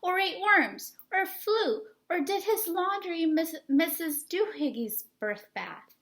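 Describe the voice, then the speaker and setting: Stern storybook mama cat, played by a woman, high pitch, scolding, emphatic, lecturing. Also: animated, takes it slowly, fairly bright tone, heavily acted.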